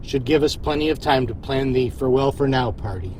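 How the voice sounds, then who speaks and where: A man's voice: medium pitch, fairly quick, darkish tone, a little hiss or hum, natural voice. Man acting a genial starship captain. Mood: friendly, wry. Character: genial, wry male starship captain in command. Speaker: lead actor in audio drama. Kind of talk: science fiction audio drama acting.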